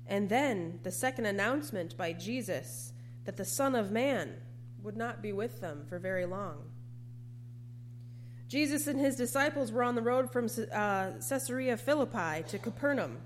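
A woman's voice: medium pitch, animated, moderate pace. A woman preacher delivering a scripted sermon. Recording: noisy recording with hum.

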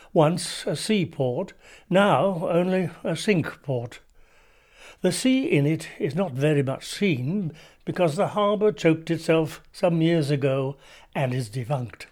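A male actor plays a witty Victorian man of letters reading from his journal. His voice sits a little high for a man. The delivery is measured, wry and literary. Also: expressive, a little mellow. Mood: wry, musing. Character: witty Victorian gentleman writer musing on a town.

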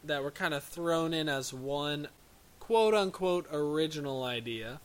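Slow-talking man, higher voice. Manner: halting, restarts a lot, laughs easily. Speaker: podcast co-host. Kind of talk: casual movie review chat.

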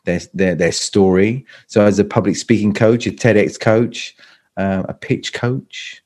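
A man's voice: on the low side, measured pace, darkish tone. A middle-aged man from London with a British accent, a speaking coach chatting as a guest on a video call and often stopping to restart his sentences. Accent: British English. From England, London. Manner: hesitant, many restarts and repeats. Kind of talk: casual interview chat.

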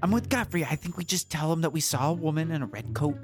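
A man playing a naive, excitable bunker dweller in an improvised roleplaying audio drama, casual and halting. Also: fairly high pitch, natural voice. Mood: scheming, casual. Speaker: player in a roleplaying game. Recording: music bed under the voice.

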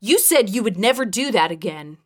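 A woman narrator playing a furious, wounded wife, blunt and forceful, in dramatic audiobook dialogue. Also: on the high side, sing-song, brisk. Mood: flat, hurt. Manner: forceful, cursing, demanding.

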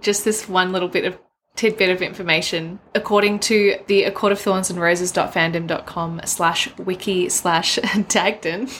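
Bright-voiced woman talking books casually. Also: lowish voice, fairly even intonation, moderate pace, a little hiss or hum. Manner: opinionated, jokey, slangy. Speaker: podcast co-host. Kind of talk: casual book discussion chat.

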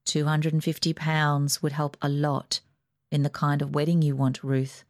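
Brief: mild, careful middle-aged dad, read by a woman in her own voice, measured delivery. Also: sits low, completely flat delivery, no room sound, clean studio sound. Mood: hesitant. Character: mild middle-aged father, gentle and cautious.

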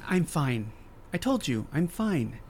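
An actor playing an earnest, tender-hearted advocate in an audio drama, talking in a chatty, expressive way. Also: male voice, on the high side, slow, a little hiss or hum, full character voice. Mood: sad, defensive. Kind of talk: naturalistic audio drama acting.

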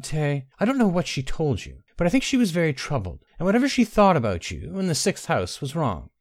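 A man voicing a young woman, Benara, who pleads with her cousin in a high anxious voice in a storybook reading. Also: wildly swooping pitch, fairly quick, a little mellow, dry recording, clean studio sound. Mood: pleading.

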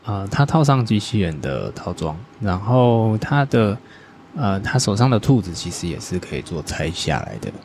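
A man as an artist guest on a podcast, his voice sitting very low. He speaks in a casual, halting way, pausing on filler sounds and agreeing quickly with repeated yeses. A faint hiss sits under the recording. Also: sing-song, darkish tone. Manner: hesitant, many filler pauses, agreeing with repeated "yes, yes". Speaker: guest artist, toy brand owner.

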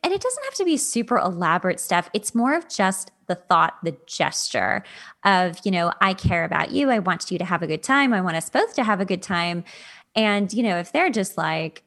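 A woman's voice: fairly low pitch, big pitch swings, normal speed, fairly bright tone. A woman hosting a podcast, talking casually about personal advice in a conversational way, repeating words for emphasis. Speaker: podcast host. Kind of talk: casual dating advice chat.

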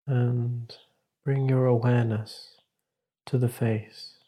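A man leading a guided meditation as a teacher, speaking in a calm, measured, instructive way with long pauses. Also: medium pitch, breathy edge.

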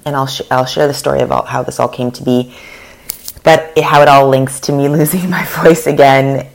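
A woman's voice: very deep voice, gravelly. A woman, hosting her own podcast and talking earnestly while losing her voice, with fillers and restarts. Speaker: podcast host. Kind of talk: solo podcast monologue.

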